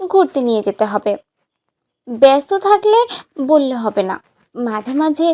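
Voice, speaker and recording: Casting: actress as teasing, bossy young bride for romantic drama; high pitch, lively swoops, insistent. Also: female voice. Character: playful, demanding young new bride, sweetly bossy. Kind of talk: romantic audio story acting.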